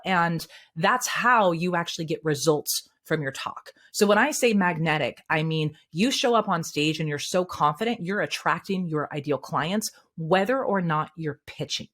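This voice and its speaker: A woman speaking coach, a guest on an interview show, giving candid and energetic advice. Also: on the low side, moderate pace, hardly any pauses.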